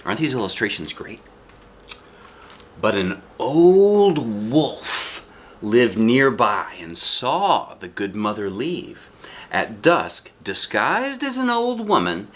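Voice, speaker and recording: A man reading a picture book aloud as a dad does, in expressive narration with casual asides. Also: mid-range voice, slow pace, a little hiss or hum.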